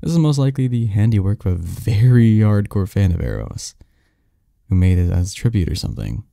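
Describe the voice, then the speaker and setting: A man's voice: lowish voice, animated, brisk, creaky, warm tone, no echo, clean studio sound. A man playing a snarky, cynical young research assistant in an audio drama, talking conversationally with a scoffing, pedantic manner and small stumbles. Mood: skeptical, lecturing.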